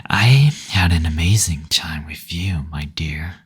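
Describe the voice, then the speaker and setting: A man playing a teasing, gentle male demon in an intimate roleplay audio, speaking softly and breathily, often near a whisper, in a tender, unhurried manner. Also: low voice, animated, breathy edge, full character voice. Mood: fond, apologetic.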